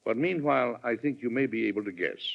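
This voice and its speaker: An American actor playing a courteous, reluctant wartime president with measured dignity in a 1940s radio drama. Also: male voice, mid-range voice, animated, gravelly. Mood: genial, reflective. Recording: dull old radio transcription, music behind.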